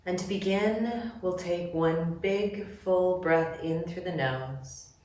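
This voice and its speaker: A woman leading a guided relaxation meditation, giving gentle, measured instructions with long pauses between phrases. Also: on the low side. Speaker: yoga nidra guide. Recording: very echoey room, distant mic.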